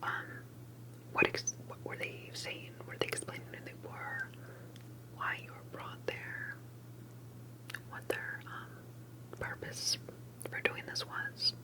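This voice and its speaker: A woman speaking entirely in a breathy whisper, performing an ASMR interview roleplay with gentle, hesitant questioning and pauses. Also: low-quality recording.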